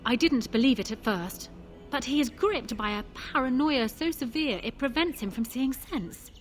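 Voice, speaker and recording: Regal, resolute fantasy game queen: higher female voice, formal and measured, music underneath. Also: animated. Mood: grave, wary. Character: proud, shrewd young widowed queen, regal and resolute. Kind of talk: dramatic fantasy video game acting.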